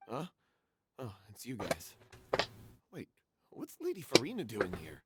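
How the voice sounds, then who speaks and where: A man playing a troubled, guilt-ridden stage actor in a video game, earnest and a little hesitant, with a husky voice. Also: medium pitch, huge pitch swings, unhurried, very noisy. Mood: surprised. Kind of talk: video game dialogue acting.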